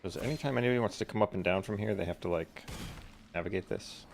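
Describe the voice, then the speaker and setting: Very low, creaky woman streamer. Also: quick pace, background noise. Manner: dry, deadpan quips. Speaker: game streamer. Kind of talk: casual gameplay commentary.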